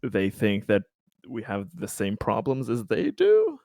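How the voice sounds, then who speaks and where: Low, animated male gamer. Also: measured pace, vocal fry, no room sound, studio quality. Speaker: tabletop game player. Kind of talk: casual game-table banter.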